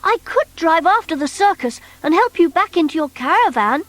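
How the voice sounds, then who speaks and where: A woman's voice: extremely high pitch, animated, moderate pace, fairly bright tone, dry recording, voice pushed up. A woman voicing a kindly, earnest little male toy figure in a storybook reading.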